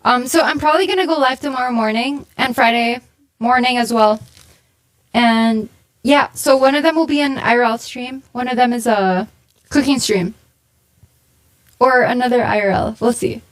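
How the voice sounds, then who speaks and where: A woman streamer reading viewer confessions aloud and reacting in casual chat. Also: a little high. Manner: exasperated, quick reading aloud.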